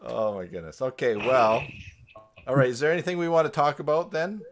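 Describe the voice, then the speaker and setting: A man's voice: medium pitch, a little fast. A man hosting a casual live group chat over a video call in a joking manner. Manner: joking, chuckling. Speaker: host of a live stream.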